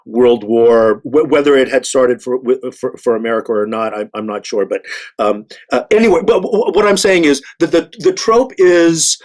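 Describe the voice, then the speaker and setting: A man on a discussion panel, making his argument haltingly, repeating words and stressing the key ones. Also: mid-range voice, steady tone. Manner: halting and repetitive, yet emphatic on key words.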